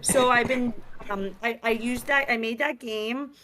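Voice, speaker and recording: A woman's voice: on the high side, unhurried, crowd noise. A young woman from New York with a New York accent, talking casually as a guest about her game, streetwise and full of fillers. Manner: energetic, streetwise, many fillers like "like" and "you know". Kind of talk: casual interview chat. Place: virtual talk show, remote.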